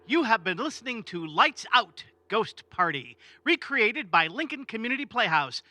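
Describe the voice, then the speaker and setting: A man's voice: high voice, big pitch swings, moderate pace. A man reading scripted closing announcements in old-time radio style. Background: loud music.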